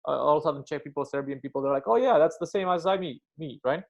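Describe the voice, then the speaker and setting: A man from Romania, a comedian whose first language is Romanian, chatting casually and briskly as a podcast guest, with lots of fillers. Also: a little high, vocal fry.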